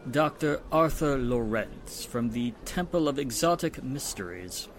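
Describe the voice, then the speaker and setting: A man's voice: mid-range voice, animated, measured pace, close to an everyday voice. A man playing a polite, tactful, formal society husband in a 1940s-style radio drama. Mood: mild, tactful.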